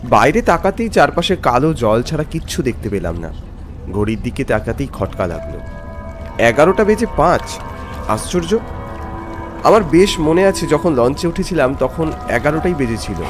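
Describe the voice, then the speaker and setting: A man voicing a young office worker tells a ghost story in a mid-pitched, very lively voice. He is polite and jittery, dramatic and suspenseful, with music playing underneath. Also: moderate pace, close to an everyday voice. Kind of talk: dramatic scripted first-person horror narration with dialogue.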